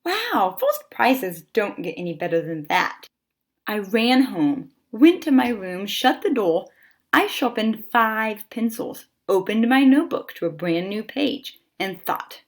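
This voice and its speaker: A woman reading a children's picture book aloud as a storyteller, with expressive delivery and dramatic pauses. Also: medium pitch. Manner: animated, deliberate phrasing with dramatic pauses.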